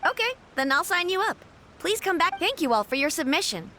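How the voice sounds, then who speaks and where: A woman's voice: very high voice, very animated, brisk, sharp tone, slight background noise, heavily acted. A woman voicing a polite, eager publishing assistant in a video game, with a businesslike manner. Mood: cheerful. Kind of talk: video game character dialogue.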